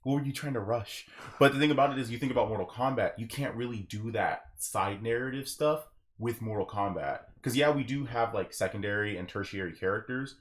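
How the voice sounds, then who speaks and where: A man's voice: mid-range voice, brisk. A man hosting a casual podcast discussion, explaining his points with emphasis. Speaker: podcast host.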